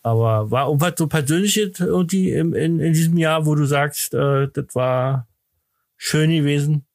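A man hosting a podcast in casual chat, halting and repeating words as he searches for phrasing. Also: on the high side, creaky, darkish tone, no room sound, clean studio sound, faint music behind. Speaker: podcast host.

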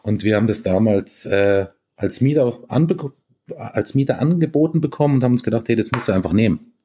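Mellow, husky-voiced musician man. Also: on the low side, a little fast. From East Tyrol, Austria. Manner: relaxed, chatty, with many fillers and restarts. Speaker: band musician, guest. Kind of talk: casual interview chat.

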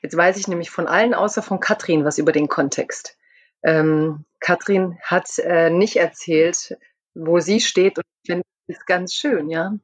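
A woman's voice: lowish voice, measured pace, slightly rough, no room sound. A woman speaking as a guest in a casual podcast discussion.

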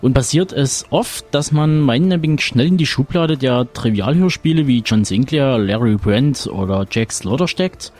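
Mid-pitched male interviewer reading questions. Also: lively intonation, normal speed, hardly any pauses, darkish tone. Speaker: interviewer, show host. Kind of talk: scripted, read-out interview questions.

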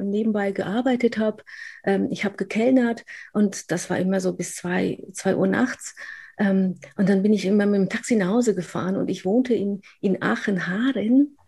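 A woman from Poland talks in a Polish accent with a rolled R, her voice slightly husky. She tells her own story candidly as an interview guest, often restarting her sentences and filling pauses. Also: medium pitch, darkish tone. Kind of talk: casual interview talk.

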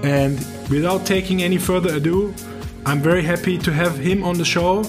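A man's voice: high-pitched, over music. A man hosting a podcast interview in English with a German accent, speaking earnestly with frequent fillers. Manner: earnest, halting, many fillers. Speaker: podcast host.

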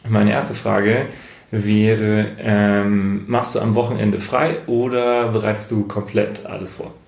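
A man hosting an interview, asking friendly questions with many fillers and quick laughs. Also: lowish voice, little pitch movement, vocal fry, a little mellow. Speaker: interviewer, podcast host. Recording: roomy echo.

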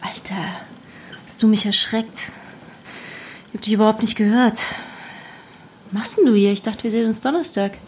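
A young woman with a slightly high voice played by an actress in a naturalistic podcast drama. She is secretive and uneasy, placating others in casual, hesitant speech. A faint noise sits behind her. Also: normal speed, long pauses, lightly acted. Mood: uneasy, probing. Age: young adult. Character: young woman undercover among climate activists, secretive and uneasy. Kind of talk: naturalistic fiction podcast acting.

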